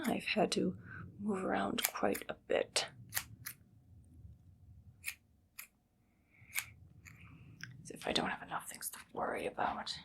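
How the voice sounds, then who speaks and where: A woman performs a vain, paranoid evil lady doctor for an ASMR roleplay. Her voice is hushed and whispery, breaking into heavy vocal fry, with quiet matter-of-fact menace. A faint noise sits behind the voice. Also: mid-range voice. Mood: aggrieved, boastful. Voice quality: soft, whispery, with creaky fry.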